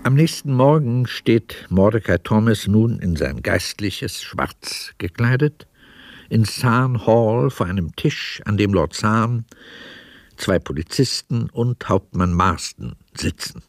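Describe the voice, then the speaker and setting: Low-voiced male radio play narrator. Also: expressive, slow, no room sound, clean studio sound.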